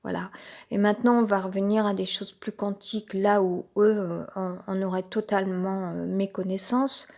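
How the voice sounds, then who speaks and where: Woman with a cold, halting monologue. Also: mid-range voice, flat delivery, gravelly, darkish tone. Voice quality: congested, nasal from a cold. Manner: halting, many fillers and restarts. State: has a cold. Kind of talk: rambling personal monologue.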